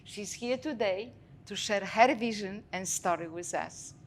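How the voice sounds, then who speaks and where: A woman's voice: mid-range voice, measured pace, a little hiss or hum. A woman hosting an interview show, opening with a formal scripted introduction and asking her guest questions in polished presenter style. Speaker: talk show host.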